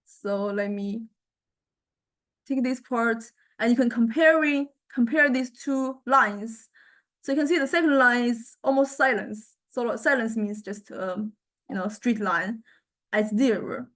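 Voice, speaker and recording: A woman giving a step-by-step software tutorial as a lecturer, hesitant and halting, often checking in with listeners. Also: fairly high pitch. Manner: hesitant, halting delivery with repeated check-ins.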